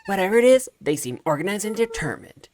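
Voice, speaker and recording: A man's voice: high-pitched, very animated, fast talker, a little mellow, slight background noise, voice pushed up. A man voicing an eager, sly young female animal reporter in a video game read-aloud. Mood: eager, confiding.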